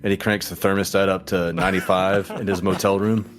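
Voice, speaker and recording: A man's voice: sits low, little pitch movement, a little fast. A man casually improvising what his character does as a player in a tabletop roleplaying game. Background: soft music bed.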